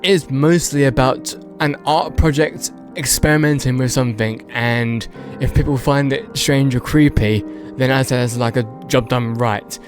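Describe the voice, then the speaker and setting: A man's voice: mid-range voice, measured pace, soft background music. A man giving casual, unscripted video commentary, rambling and hedging as he goes and repeating words for emphasis. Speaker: video host.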